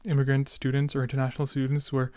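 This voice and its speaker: Young man with a smooth, clear voice. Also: medium pitch, monotone, quick pace, darkish tone, no echo. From Canada. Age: late teens, young adult. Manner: rambling, self-interrupting, youthful slang. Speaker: podcast host. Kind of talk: casual solo chat, reminiscing.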